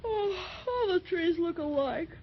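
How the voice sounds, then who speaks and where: A woman's voice: very high voice, animated, a little slow, exaggerated voice. A woman playing an eager, plain-spoken young child in a 1930s radio fantasy adventure. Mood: tired. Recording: muffled, noisy 1930s radio transcription.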